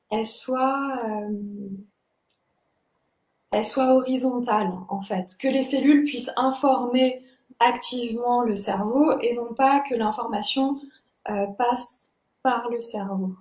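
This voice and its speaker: A woman's voice: fairly high pitch, a little mellow. Thoughtful young woman in an echoey room. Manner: thoughtful, halting, searching for words. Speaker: body-movement teacher, interview guest. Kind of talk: explanatory interview talk. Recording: echoey, roomy sound.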